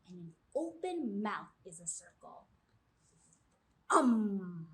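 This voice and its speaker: High-voiced woman reading slowly to young children. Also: a bit bright, low-quality recording. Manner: simple phrasing with guiding questions to children. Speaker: children's librarian, storytime host. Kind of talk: storytime read-aloud for young children. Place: small indoor room, seated before camera.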